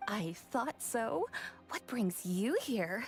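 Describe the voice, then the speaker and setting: An actress playing a friendly, polite female shopkeeper in a video game, courteous and expressive. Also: on the high side, takes it slowly, music in the background.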